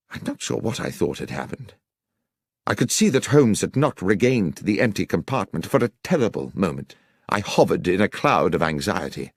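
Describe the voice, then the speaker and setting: A male narrator reads scripted fiction dramatically. His voice sits fairly low and moves expressively up and down, recorded close with hardly any room sound. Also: no room sound. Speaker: audiobook narrator.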